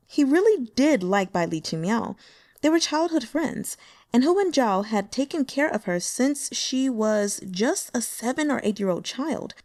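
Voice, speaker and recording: A woman's voice: on the high side, darkish tone, dry recording, clean studio sound. A woman narrating a fan-translated novel expressively and dropping sarcastic asides. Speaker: audiobook narrator.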